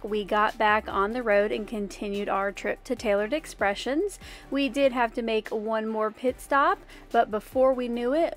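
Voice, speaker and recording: A woman in her forties, a craft vlogger, chatting in a friendly, upbeat way into a phone held at arm's length. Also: a little high. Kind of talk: casual vlog chat and voice-over narration. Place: inside a car and outdoors at a rest area.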